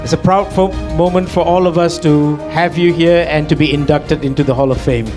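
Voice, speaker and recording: A man's voice: fairly high pitch, steady tone, fairly quick, music in the background. A man hosting an award ceremony, making formal announcements with fillers between them. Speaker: emcee.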